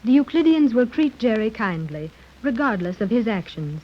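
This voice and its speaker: A woman's voice: on the high side, very animated, moderate pace. An actress in a 1930s radio serial playing a stiff, formal young woman submarine commander. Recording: muffled old radio recording.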